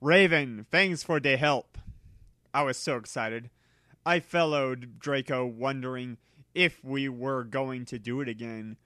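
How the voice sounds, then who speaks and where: A man narrates fiction with theatrical, exaggerated emphasis. His voice is bright and forceful at an ordinary pitch, and the recording is dry, with hardly any room in it. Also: a little slow, no room sound. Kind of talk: dramatic reading of fan fiction.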